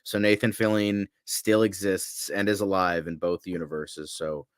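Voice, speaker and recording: A man's voice: sits low, flat delivery, normal speed, smooth, no echo, clean studio sound. A man co-hosting a podcast, chatting like a fan in an enthusiastic, rambling way and repeating himself. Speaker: podcast co-host. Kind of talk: casual fan chat.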